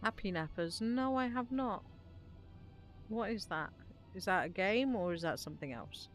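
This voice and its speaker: A woman's voice: mid-range voice, animated, moderate pace. A woman chatting casually and playfully as she plays on a gaming live stream. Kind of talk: casual live stream chat while playing. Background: faint music.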